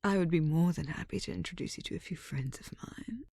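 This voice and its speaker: A woman's voice: sits low, a little fast, a little mellow, slightly rough, no echo, studio quality. A woman acting a haughty, scheming noblewoman in an ASMR audio drama, with a sugary, condescending murmur. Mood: smug, menacing. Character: haughty, spiteful scheming noblewoman taunting her rival.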